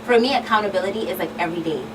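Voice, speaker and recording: A woman's voice: medium pitch, rapid-fire. A woman in her thirties speaking as a guest expert in an earnest panel discussion, explaining with everyday examples. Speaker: talk show guest expert. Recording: distant mic, clearly noisy room.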